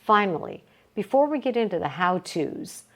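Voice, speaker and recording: A woman reading a motivational self-help audiobook aloud in a deliberate, emphatic, coaching way. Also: mid-range voice, sing-song. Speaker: audiobook reader.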